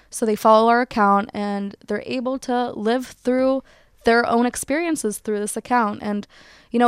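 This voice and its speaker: A woman's voice: on the high side, vocal fry, clean tone, no echo, clean studio sound. A young woman with a chatty manner, full of likes and ums, answering interview questions. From United States. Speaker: social media account creator. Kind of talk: casual interview.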